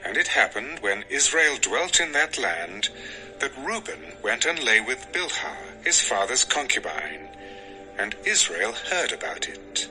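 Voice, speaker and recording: A man narrating dramatized scripture as a measured, expressive storyteller. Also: medium pitch, rough recording. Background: music.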